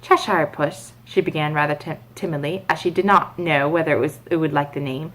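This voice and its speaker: A woman reading a children's bedtime story aloud in an expressive narrating style. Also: low voice, fast, poor audio.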